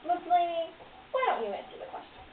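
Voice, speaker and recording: A woman's voice: very high-pitched, fast, a little hiss or hum. A teenage girl playing a chirpy talk show host in a school skit. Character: female talk show host, bubbly and scolding. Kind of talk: amateur school skit acting. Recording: tinny, echoey, distant camera audio.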